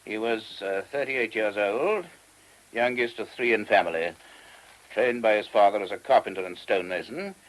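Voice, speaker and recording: An actor playing a courteous, earnest monastery abbot with a British accent and a hesitant, genteel manner in a radio play. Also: male voice, on the low side, normal speed, close to an everyday voice, rough recording. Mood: earnest, explaining. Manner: hesitant, genteel, old-fashioned English turns of phrase. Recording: old radio drama recording.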